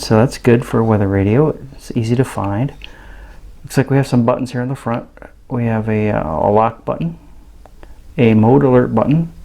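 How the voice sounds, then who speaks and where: A man giving a casual product review in a halting, understated way. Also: mid-range voice, creaky, warm tone. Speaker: radio reviewer. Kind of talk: casual unboxing review narration.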